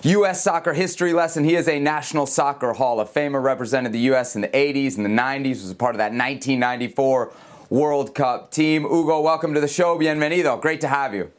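A man hosting a sports talk show, brisk and skeptical, introducing a guest and giving background. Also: medium pitch. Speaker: podcast host.

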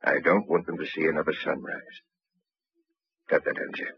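An actor playing a gruff, grim police chief, brusque and commanding, in a 1950s radio crime drama. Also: male voice, very deep voice, little pitch movement, a little fast, no room sound, exaggerated voice. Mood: bitter anger. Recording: dull, muffled old radio recording.

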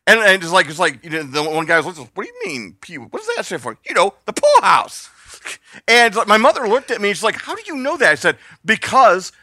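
A man telling stories on a casual podcast, his voice bright and sharp, with a lively pitch that swings up and down. He laughs often and acts out the people he quotes, and the sound is dry and close. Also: a little fast, no echo. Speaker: podcast co-host. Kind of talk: casual storytelling chat.

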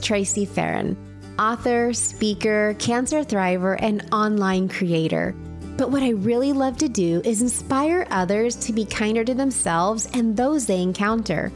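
Woman podcast host reading her intro, mid-pitch voice, quiet music behind. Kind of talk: scripted narration.

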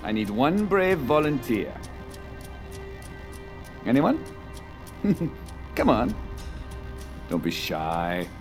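Sci-fi drama: smooth, controlling visionary showman, animated pitch, patronising patter, music underneath. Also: extremely slow, long pauses, exaggerated voice. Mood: showy, coaxing. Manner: theatrical tour-guide patter, patronising. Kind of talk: presentational audio drama acting. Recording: music bed behind dialogue.

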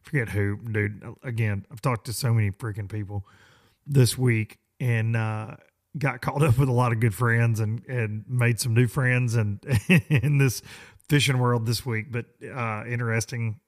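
A hoarse man hosting a podcast, rambling in a folksy, self-deprecating way. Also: mid-range voice, fairly even intonation, unhurried. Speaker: podcast host. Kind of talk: casual rambling monologue.

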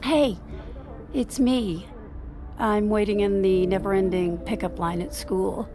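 Woman acting as a guarded, strained mom, medium pitch, talks natural and a bit hesitant, audio drama. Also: steady tone, slow pace, over music, natural voice. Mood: tentative, hopeful. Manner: hesitant, defensive, conversational. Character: guarded, strained wife and mother, deflecting.

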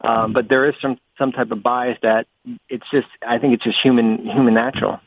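A man working as a basketball analyst on a conference call, speaking haltingly and repeating words as he reasons aloud. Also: mid-range voice, level tone, no room sound. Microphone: telephone. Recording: tinny, dry phone conference line.

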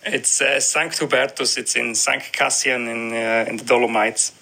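A gravelly, very bright male voice with a German accent that stays flat in pitch. He speaks haltingly and keeps his phrasing simple. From South Tyrol, Italy. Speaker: sommelier.

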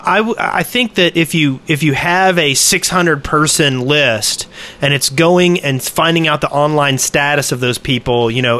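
A man's voice: fairly high pitch, pushed and bright. A man co-hosting a podcast, talking in a casual group discussion. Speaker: podcast co-host.

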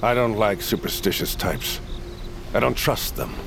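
Grim rebel leader with swooping intonation. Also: male voice, on the low side, expressive, measured pace, gravelly, background noise. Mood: grim, skeptical. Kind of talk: audio fiction drama acting.

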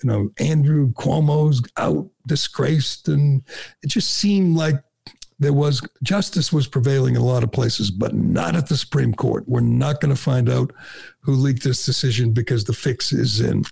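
Man, middle-aged, talk radio host, very gravelly, husky, sarcastic, filler-heavy. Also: mid-range voice, normal speed, a little mellow. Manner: sarcastic, mocking, with frequent filler "uh" and restarts. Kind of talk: opinionated talk radio monologue.

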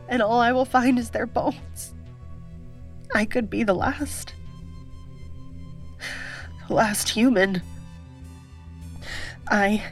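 A woman playing a lonely, anxious space traveller in a sci-fi audio drama, speaking haltingly. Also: on the high side. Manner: hesitant, halting. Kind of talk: science fiction audio drama acting.

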